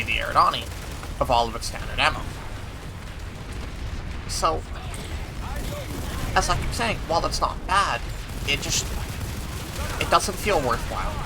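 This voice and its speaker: A man giving an analytical, casual review as commentary while he plays a video game. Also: mid-range voice. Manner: analytical, talks while playing. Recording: gunfire and game battle noise behind. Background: video game gunfire and vehicles.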